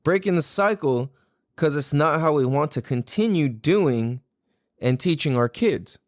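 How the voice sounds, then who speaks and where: A male podcast host talks in a loose, rambling, conversational style. His voice sits a little high for a man and moves around a lot in pitch, giving an animated, opinionated delivery. The sound is very dry, with no room echo. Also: measured pace. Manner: animated, rambling, frequently swearing. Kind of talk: casual opinionated podcast talk.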